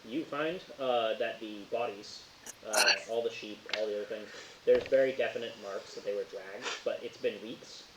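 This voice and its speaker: A man improvising narration as a tabletop horror game master, casual and halting as he searches for words. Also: on the low side. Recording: roomy, dull, hissy home recording.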